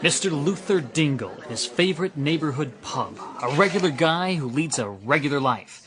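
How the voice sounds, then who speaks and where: An actor in a radio drama playing an excitable, theatrical male TV news reporter announcing to his audience. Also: on the high side, moderate pace, a crowd in the background, close to an everyday voice. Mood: smooth announcing. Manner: breathless play-by-play, theatrical.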